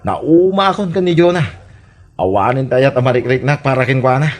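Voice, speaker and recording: An actor with an Ilocano Filipino accent playing an evasive, irritable, smooth-talking young man in a comedy radio drama. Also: medium pitch, fairly quick, darkish tone, natural voice. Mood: casual, fed up.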